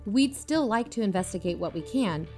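A woman's voice: mid-range voice, lively intonation, normal speed, darkish tone, faint music behind, lightly acted. A woman playing an earnest podcast investigator in an audio drama, questioning and keeping to the point. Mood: curious.

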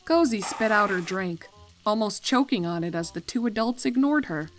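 A woman's voice: mid-range voice, expressive, moderate pace. Woman narrating a story over music. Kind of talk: expressive scripted story narration.

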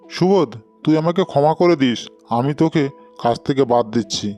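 A man plays a well-to-do village employer in a cartoon, paternal and matter-of-fact. The voice is fairly high for a man and quick, with light music underneath. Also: mellow, lightly acted. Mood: advising. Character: paternal, well-off village boss advising his worker. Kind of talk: Bengali moral-story cartoon acting.

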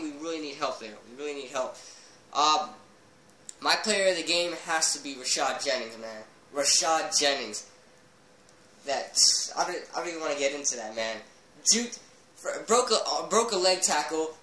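A man's voice: on the high side, bright, forceful tone, reverberant, slight background noise, poor audio. A teenage boy doing a heated post-game football review, talking into a laptop webcam in a small bedroom. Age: teens. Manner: heated, fast fan rant with fillers. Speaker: sports vlogger.

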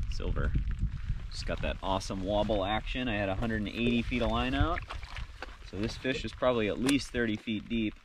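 A man's voice: on the low side, background noise. A young man chatting and explaining his tackle while fishing from a canoe on a lake, caught on an action camera. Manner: excited, explains tackle plainly. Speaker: angler in canoe. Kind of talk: casual outdoor chat. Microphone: action camera on boat.

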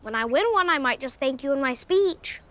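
High-pitched, precise young boy. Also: expressive, normal speed, sharp tone, exaggerated voice. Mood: insistent. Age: child. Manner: precise, formal, insistent. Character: earnest, precocious young science-obsessed boy. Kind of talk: sitcom acting.

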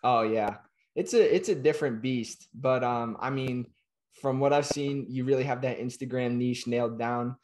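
A man's voice: mid-range voice, darkish tone. A young man in his twenties hosting a podcast over a video call from a small room at home, upbeat and friendly. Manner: upbeat, friendly, quick affirmations. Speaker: podcast host. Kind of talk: casual video-call interview. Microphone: webcam or laptop mic on a video call.

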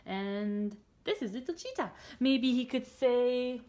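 A woman's voice: on the high side, animated, takes it slowly, warm tone. A woman in her thirties, a children's author and illustrator, explaining her drawing in simple, short phrases as if for young children. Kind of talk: storytime and drawing demonstration for children.

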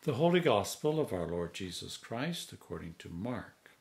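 A man in his sixties, a priest, reading scripture aloud in a measured, solemn manner with deliberate phrasing. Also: fairly low pitch, very animated, gravelly. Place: home study, indoors.

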